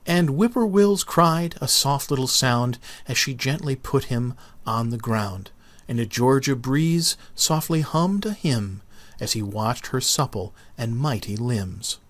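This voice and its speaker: A man reads a children's tale aloud as its narrator. His medium-pitched voice rises and falls with lots of expression. The sound is dry, with no room around it. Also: animated, unhurried, no echo. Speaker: storybook narrator.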